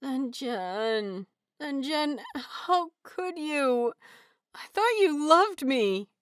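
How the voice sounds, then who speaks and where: A woman's voice: sits high, expressive, drawn-out words, no room sound, clean studio sound, pitched above the performer's own voice, full character voice. A woman voicing a dramatic, playful young man who wails and pouts in mock heartbreak. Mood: wailing. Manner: melodramatic wailing.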